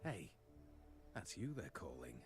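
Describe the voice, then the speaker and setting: An actor voicing an easygoing, world-weary agent of a crime family in a video game, frank and casual. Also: male voice, sits low, very animated, measured pace. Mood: brisk, casual. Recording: game music behind the voice.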